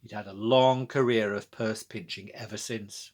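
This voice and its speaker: A man's voice: lowish voice, steady tone, normal speed. A British man narrating a scripted children's storybook as its author, reading aloud.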